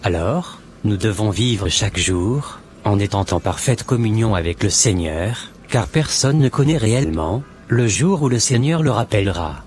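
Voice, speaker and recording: Low-voiced devout man, acted by a man, measured. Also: animated, a little mellow, faint music behind, lightly acted. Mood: calm, admonishing. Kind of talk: scripted cartoon dialogue.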